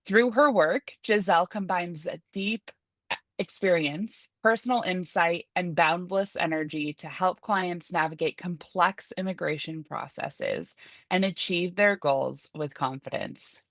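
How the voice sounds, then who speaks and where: A woman's voice: fairly low pitch, measured pace, a bit bright, no echo. A woman hosting a podcast, who introduces her guest and asks questions with enthusiasm. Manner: enthusiastic, effusive. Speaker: podcast host. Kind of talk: podcast interview.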